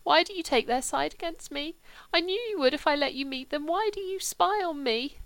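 A woman voicing a sullen, impulsive young English gentleman who is still a little drunk, petulant and raised. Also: on the high side, brisk, dry recording, voice pushed up, natural voice. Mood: accusing.

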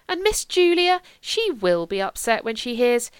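A woman's voice: on the high side, very animated, a little slow, clean tone, no room sound, studio quality, pitched above the performer's own voice. A woman voicing an elderly family nanny who rambles on fondly and gossips about the household. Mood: fond chatter. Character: elderly female family nanny, fond and chatty. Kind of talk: audiobook character voice.

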